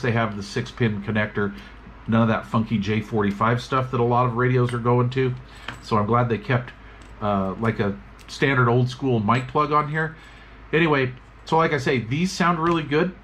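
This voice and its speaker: A man giving a relaxed, casual review of radio gear, chatting with plenty of fillers as he works at a tabletop. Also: mid-range voice, a little hiss or hum.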